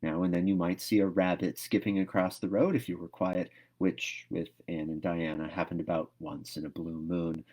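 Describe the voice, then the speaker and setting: A man reading a novel aloud as expressive storybook narration. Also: deep voice, moderate pace, hardly any pauses.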